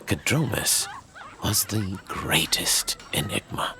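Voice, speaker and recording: A man playing a measured, knowing storyteller of dark fantasy lore, speaking with deliberate dramatic emphasis. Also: low voice, animated, noisy background, heavily acted. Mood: grave storytelling.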